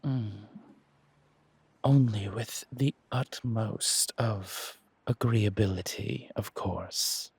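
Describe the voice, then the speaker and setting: A man voicing a smooth, seductive gentleman stranger in a romantic audio drama, with a breathy, drawling, flirtatious and formal way of talking. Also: on the low side, drawn-out words, no room sound, full character voice. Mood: courtly, inviting. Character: smooth, seductive, well-dressed male stranger, courtly and teasing.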